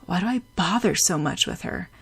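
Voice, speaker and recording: A woman voicing a gruff, protective young man who speaks in a low-key way. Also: expressive, a little fast, exaggerated voice. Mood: brooding.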